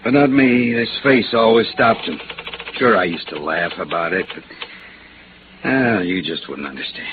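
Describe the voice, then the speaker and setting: An actor playing a bitter, lovestruck American embezzler on the run, defensive and resigned, in 1950s radio noir. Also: male voice, lowish voice, lively intonation, moderate pace, lightly acted. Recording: noisy, narrow-band vintage radio recording.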